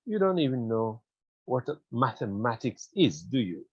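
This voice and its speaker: A man voicing a condescending, sardonic German colonial officer, lecturing deliberately in a literary reading. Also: mid-range voice, very animated, slightly rough, no room sound, highs cut off.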